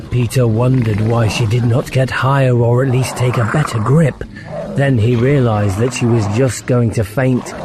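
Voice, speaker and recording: A man's voice: medium pitch, background noise. A man reading a children's fantasy audiobook as narrator, in a dramatic storytelling style.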